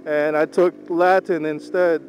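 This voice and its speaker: A young man whose first language is Tagalog, talking casually in a slow, halting way while driving. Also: a little high, level tone, darkish tone, poor audio. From Philippines. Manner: slow, unhurried, halting with pauses. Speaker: vlogger. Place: inside a moving car. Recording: faint car engine hum. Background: car engine and road noise.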